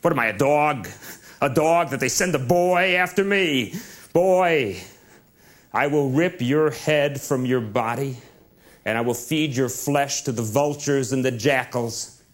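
Man putting on a brutal giant warrior, mocking, loud, bellowing threats. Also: medium pitch, a little slow, lightly acted. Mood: scornful. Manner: taunting, bellowing. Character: huge, brutal male giant warrior, scornful.

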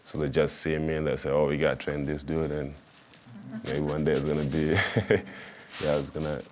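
A young man from Tanzania with a Tanzanian accent, a basketball player answering interview questions in a soft, halting way. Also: rumbling low, flat delivery, slight background noise. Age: young adult. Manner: soft-spoken, halting, simple phrasing with fillers. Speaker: college basketball player guest.

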